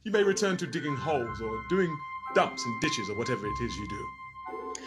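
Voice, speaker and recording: A man's voice: medium pitch, very animated, normal speed, loud music behind the voice, lightly acted. A man playing a pompous, vain and dim pantomime Prince Charming who proclaims grandly. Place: living room sofa at home.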